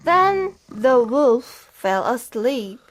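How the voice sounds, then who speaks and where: A woman narrating a fairy tale for children as a storyteller, in an expressive and deliberate manner. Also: fairly high pitch.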